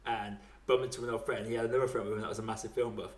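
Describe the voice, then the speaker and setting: A man's voice: on the low side, level tone, racing. A man hosting a casual film podcast, talking in a blokey, slangy way. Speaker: podcast host. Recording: echoey, roomy sound.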